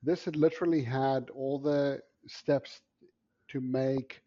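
Husky man, lo-fi line. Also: mid-range voice, slow pace. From South Africa. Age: 40s. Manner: animated storyteller with many fillers. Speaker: guest. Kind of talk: interview storytelling. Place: Brooklyn.

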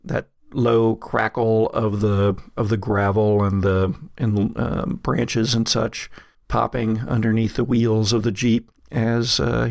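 A man narrating a horror tabletop game as game master, telling it in a halting, descriptive way. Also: medium pitch, vocal fry, a little mellow, no room sound, studio quality.

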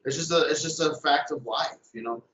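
Young male co-host, mid-pitched, earnest and halting, echoey room, muffled lo-fi sound. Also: a bit bright. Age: young adult. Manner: earnest, halting, frequent "you know". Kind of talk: casual chat.

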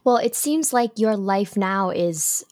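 A woman's voice: medium pitch, lively intonation, takes it slowly, a little mellow, no room sound. A woman hosting an interview, upbeat and encouraging as she asks her questions. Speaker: interview host.

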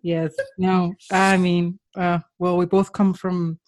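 A woman's voice: fairly low pitch, flat delivery, takes it slowly, darkish tone. A woman hosting a podcast, encouraging her guest and laughing often, then reading the show's outro. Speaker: podcast host.

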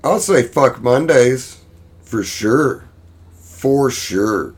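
An opinionated, grumbling podcast buddy, acted out by a man. His voice is pushed upward from a deep register, and he banters casually. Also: expressive, extremely slow, breathy edge, full character voice.

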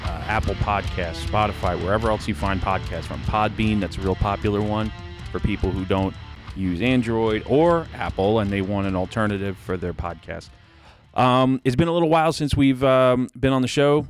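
A man hosting a podcast, introducing his guest in a relaxed way with lots of ums. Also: on the low side, moderate pace, soft background music. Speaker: podcast host. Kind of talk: casual podcast interview.

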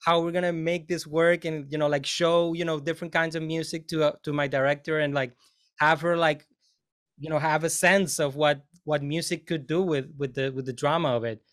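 A man's voice: a little high, fairly even intonation, fairly quick, a bit bright. A man, a film composer on a panel, talking casually and rambling with many fillers and restarts.

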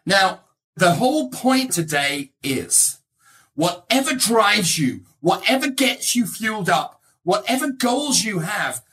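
Middle-aged motivational speaker from England. Bright, forceful voice, emphatic and conversational, widely swinging intonation. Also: mid-range voice, very animated, slow pace. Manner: emphatic, conversational, checks in with rhetorical tags. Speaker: motivational speaker, real estate agent. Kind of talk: motivational talk to an audience.

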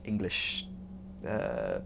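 A man's voice: a bass voice, very animated, drawn-out words, vocal fry. A young man speaking casually as an interview guest, often chuckling as he talks. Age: young adult. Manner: chuckles often while talking. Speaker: interview guest, international student on a sailing ship. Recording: faint background noise and ambience.

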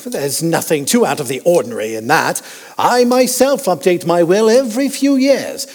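A crusty old Victorian gentleman doctor in an audio drama. The voice is husky and rather high, swinging wildly up and down with pompous bluster. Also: sing-song, moderate pace, long reverb tail, exaggerated voice. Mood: curious, dismissive. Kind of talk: period audio drama acting.

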